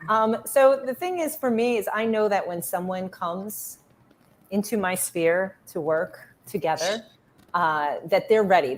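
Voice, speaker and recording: A woman's voice: fairly low pitch, a little mellow, a little hiss or hum. A woman guest on a podcast, a spiritual healer speaking earnestly about her work. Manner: earnest, emphatic repetition. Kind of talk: casual interview.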